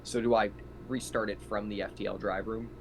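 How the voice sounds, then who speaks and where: A man's voice: on the low side, flat delivery, a little fast, a little hiss or hum. A man chatting casually as a player in a tabletop roleplaying game, describing what his character does.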